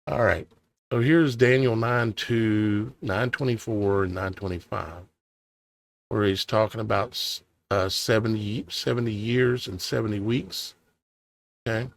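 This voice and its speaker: A man's voice: on the low side, steady tone, vocal fry. A tired man whose voice is starting to go, reading scripture aloud and musing on it with hesitant, questioning asides. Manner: reads old scripture in a measured cadence. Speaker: online preacher.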